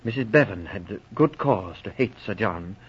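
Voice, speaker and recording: An actor playing an earnest, cautious gentleman doctor who speaks hesitantly and confidingly in a 1940s radio drama. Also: on the low side, lively intonation, moderate pace, a little hiss or hum, natural voice. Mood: reluctant, confiding. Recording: muffled old radio broadcast recording.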